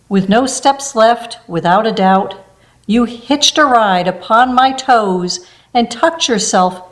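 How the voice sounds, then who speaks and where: A woman reading a rhyming children's picture book aloud in a gentle storybook manner. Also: on the high side.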